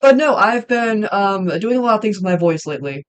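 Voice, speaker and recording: Young female streamer with a mid-pitched voice, casual and rambling, lots of hesitations. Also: lively intonation, a little fast, clear, resonant tone. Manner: rambling, hesitant, many ums and restarts. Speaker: livestreamer and hobby voice actor. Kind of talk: casual livestream chat while drawing.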